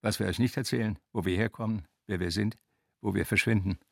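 A deep, creaky migrant worker. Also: male voice, fairly even intonation, brisk, no room sound, studio quality. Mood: sober. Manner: clipped, list-like short statements. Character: sober choral voice of undocumented migrant workers. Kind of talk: radio play choral recitation.

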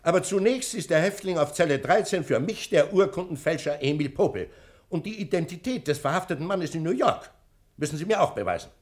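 An actor playing a pompous, stubborn and indignant prison director in a 1960s German radio drama. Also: male voice, a little high, expressive, fairly quick, natural voice. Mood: sceptical, officious. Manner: pompous, officious, scoffing.

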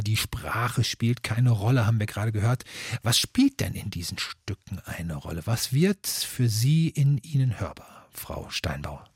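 Male radio moderator, very raspy mid-range voice, measured scripted delivery, dry close sound. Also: lowish voice, no room sound.

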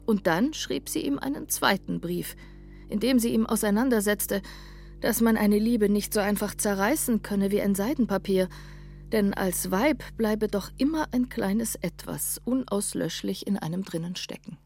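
An actress playing a sentimental older former court opera singer in a literary radio drama, with a measured theatrical delivery. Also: female voice, mid-range voice, gravelly, darkish tone, faint music behind, natural voice. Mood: wistful.

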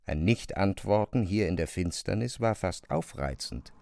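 A man narrating a literary audio drama with measured, precise phrasing. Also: low voice, lively intonation, creaky, darkish tone, no echo, studio quality.

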